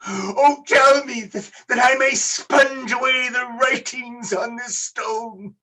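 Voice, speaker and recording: A man acting a frightened, repentant old miser in a radio play, halting and imploring in a dramatic way. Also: very high-pitched, fairly even intonation, sharp tone, exaggerated voice. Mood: desperate begging.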